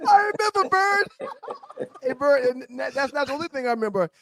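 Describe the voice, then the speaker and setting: Fast, high-voiced man co-hosting. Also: sing-song, gravelly, a loud crowd. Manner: joking, repeats phrases for emphasis. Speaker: talk show co-host. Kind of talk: casual banter.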